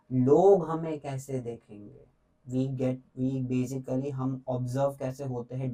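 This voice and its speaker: A man's voice: medium pitch, warm tone, distant mic, rough recording. A young man teaching an exam revision lesson, explaining points in mixed Hindi and English, repeating key lines and often checking in with students.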